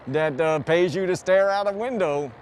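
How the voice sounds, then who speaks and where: A man's voice: sits high, normal speed, darkish tone. An older man, an air traffic controller, talking casually in an interview. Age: 50s or older.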